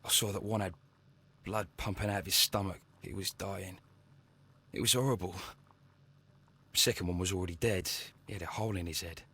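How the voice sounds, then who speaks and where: A man's voice: deep voice, fairly even intonation, fairly quick, pauses often, pushed and bright, breathy edge, exaggerated voice. A man playing an anxious young working-class Victorian with a London accent in a video game, earnest and stammering as he speaks. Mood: shaken, earnest. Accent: English, London. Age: young adult.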